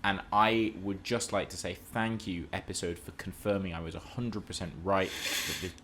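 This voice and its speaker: A man's voice: low voice, fairly even intonation, normal speed, a bit bright, a little hiss or hum. A man co-hosting a podcast, discussing film and TV casually in an assertive, opinionated way. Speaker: podcast co-host.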